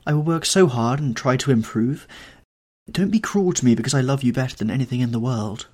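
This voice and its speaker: A man's voice: mid-range voice, a little fast, a little mellow, voice pushed up, close to an everyday voice. A man voicing a heartbroken young actress who begs her lover not to leave, in a pleading, breathless way.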